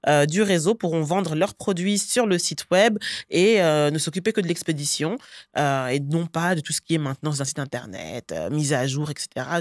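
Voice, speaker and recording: A woman's voice: low voice, no room sound, studio quality. A woman, a guest in a podcast interview, talking at length with frequent filler sounds. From Belgium. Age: 30s. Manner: lively and talkative, frequent "euh" fillers. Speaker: guest entrepreneur. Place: bright studio set, seated on a sofa. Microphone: studio mic on a boom arm.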